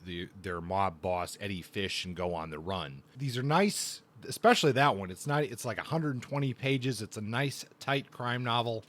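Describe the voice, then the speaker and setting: A man hosting a casual book review podcast, speaking in a thoughtful, halting way with repeated words. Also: on the low side, fairly bright tone.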